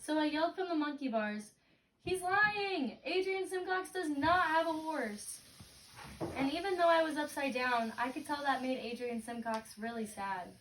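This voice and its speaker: A woman's voice: high voice, moderate pace, fairly bright tone. A woman reading a picture book aloud expressively to a child, as if leading a read-aloud lesson. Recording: roomy, distant mic, clicks and clear noise.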